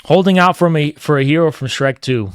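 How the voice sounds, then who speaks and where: A man's voice: on the high side, brisk, clear, resonant tone, no echo. A man live-streaming, chatting casually and teasing his chat while rambling through strong opinions.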